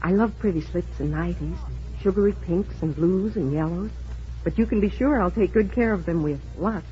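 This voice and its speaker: A woman's voice: lowish voice, moderate pace, mellow. A woman answers in a scripted 1940s radio interview style as a guest actress. The sound is muffled and old.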